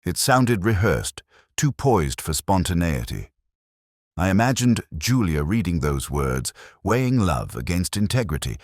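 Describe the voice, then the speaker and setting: A man playing a brooding, literary police inspector, narrating his case in measured, reflective first person. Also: extremely low pitch, no echo, studio quality, full character voice.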